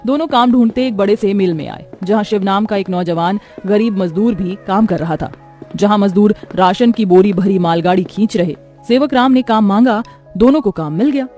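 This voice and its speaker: Casting: female storyteller for scripted moral tales, medium pitch, faint music underneath. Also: moderate pace, dark tone.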